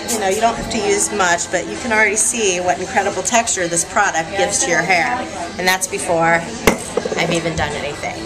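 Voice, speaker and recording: Upbeat woman stylist over loud music. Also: mid-range voice, poor audio. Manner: casual, upbeat step-by-step explaining. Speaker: hair stylist. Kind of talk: how-to tutorial. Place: hair salon, indoors. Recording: salon video with loud music behind. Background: loud background music.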